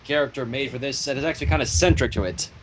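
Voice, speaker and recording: A man's voice: medium pitch, racing, noisy background. A man chatting casually on a livestream over an online voice call. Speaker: animator and livestream guest.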